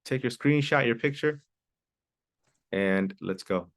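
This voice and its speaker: A man's voice: mid-range voice, slow pace, fairly bright tone. A man teaching an online English class, patiently repeating key phrases and sometimes explaining in Spanish. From Mexico.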